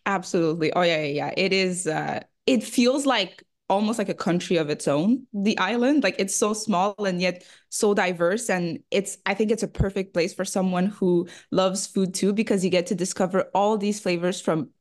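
A woman's voice: medium pitch, normal speed, few pauses. A young woman whose first language is French, speaking as a guest in a casual interview, laughing often and using many fillers. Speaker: guest, food photographer and cookbook author.

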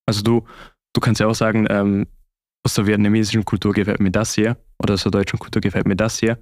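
A man's voice: lowish voice, flat delivery, quick pace, a little mellow, no echo, clean studio sound. A young man with an Austrian accent, talking reflectively and conversationally as an interview guest. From Austria (Vorarlberg).

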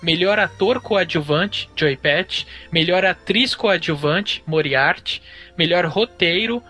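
A man co-hosting a film podcast, listing facts in a steady run and then musing on the film. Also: high-pitched, takes it slowly, faint music behind. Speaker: podcast co-host. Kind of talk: casual podcast discussion.

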